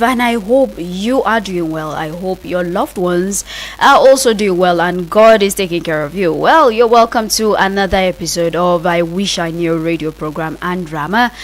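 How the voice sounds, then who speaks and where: Nigerian female radio presenter, medium pitch. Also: low-quality recording. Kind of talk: radio programme introduction, addressing listeners.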